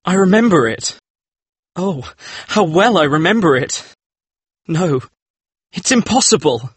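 An anguished male painter from a dramatised audiobook, acted by a male reader. His voice sits high and husky, recorded close and dry. He speaks with horrified, pleading urgency and heavy emphasis. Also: expressive, measured pace, dry recording, full character voice. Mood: agitated, appalled.

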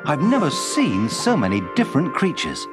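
A man voicing a friendly, excitable talking submarine in a children's story. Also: fairly high pitch, normal speed, pitched above the performer's own voice. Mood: amazed. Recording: music behind the voice.

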